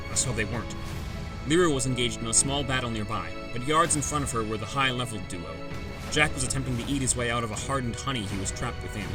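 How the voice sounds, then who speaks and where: A man giving dramatic scripted first-person narration for a fantasy audiobook. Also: mid-range voice. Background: background music.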